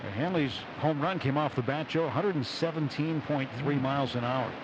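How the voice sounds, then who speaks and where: Male baseball color commentator, mid-pitched, conversational radio delivery, muffled lo-fi sound, noisy outdoor stadium behind. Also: brisk. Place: open broadcast booth at outdoor ballpark. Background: loud stadium ambience.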